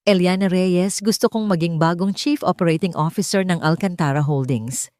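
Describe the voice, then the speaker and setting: A woman's voice: lowish voice, normal speed, a little mellow, no echo, clean studio sound, natural voice. A woman voicing a kind, decisive wealthy businessman in a story, assured and earnest. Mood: firm, earnest.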